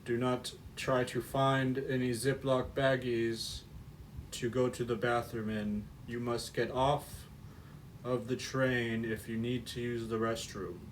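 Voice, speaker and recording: Man playing a stern train announcer, put-on accent, slow flat delivery, faint hiss behind. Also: medium pitch, close to an everyday voice, rough recording.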